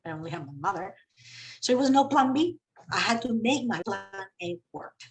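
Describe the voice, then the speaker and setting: A woman's voice: animated, moderate pace, crowd noise. A woman from Colombia, speaking as a CEO on a business panel in an earnest, emphatic way. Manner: earnest, emphatic, with dramatic swings in pitch and loudness. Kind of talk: panel discussion talk.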